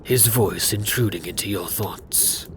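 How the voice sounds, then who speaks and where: Lowish guy doing asmr story narration, hushed and close, kinda dramatic, noticeable background noise. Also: a little slow. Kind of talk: ASMR scripted second-person fantasy narration.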